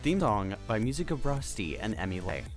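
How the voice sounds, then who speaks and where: A man's voice: fairly low pitch, very animated, fairly quick. A man reading scripted end credits as the announcer of an audio drama. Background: music.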